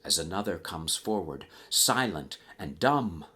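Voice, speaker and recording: Slow, very deep-voiced male storyteller. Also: expressive, sharp tone. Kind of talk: theatrical scripted narration in rhyming verse.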